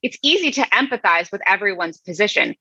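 A woman co-hosting a chat show, giving a deadpan dramatic reading of online comments with slow, heavy emphasis. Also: mid-range voice, husky, no echo. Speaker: podcast co-host. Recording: dull, dry lo-fi mic.

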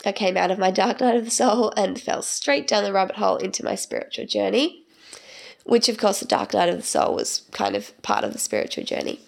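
A young woman hosting her own podcast, chatting in a rambling way with many ums, her voice croaky from the tail end of a cold. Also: mid-range voice, animated, a little fast. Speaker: podcast host. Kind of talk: casual solo podcast monologue.

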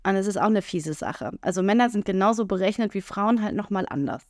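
A woman's voice: mid-range voice, animated, fast talker, darkish tone, no echo, clean studio sound. A woman speaking frankly and chattily on a casual podcast, with many fillers. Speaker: podcast co-host.

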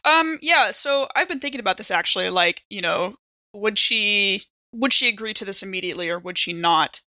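A woman's voice: medium pitch, animated, moderate pace, sharp tone, dry recording. A woman chatting casually as a player on a tabletop game podcast, in a playful, rambling way. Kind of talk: casual banter.

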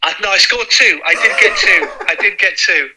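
A middle-aged Welsh man whose first language is Welsh, a former footballer telling stories in a relaxed chat, often repeating and restarting his sentences. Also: sits high, normal speed, over a noisy crowd, poor audio. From North Wales. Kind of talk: casual reminiscing chat.

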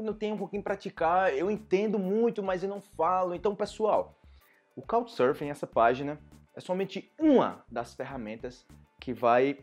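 Brazilian male teacher, casual lesson to camera, lively delivery, husky voice, soft music underneath. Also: a little high. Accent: Brazilian Portuguese. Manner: lively, animated, acts out students' voices. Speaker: Portuguese teacher for foreigners.

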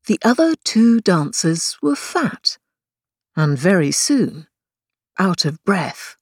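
A woman reading a children's story aloud in an expressive narrating style. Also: on the low side, slow pace, slightly rough, no echo, studio quality. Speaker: volunteer audiobook reader.

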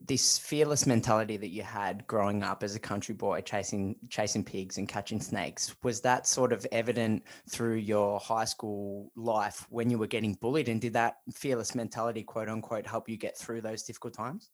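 Enthusiastic host, lower voice. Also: male voice, level tone, normal speed. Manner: enthusiastic, pumped-up host, calls guest mate and dude. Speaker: podcast host. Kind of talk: casual interview talk.

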